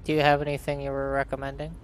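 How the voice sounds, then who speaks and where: A man narrating a let's play and reading the player's dialogue in a flat, matter-of-fact way. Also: mid-range voice, racing, slight background noise. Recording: voice-over with clear game ambience.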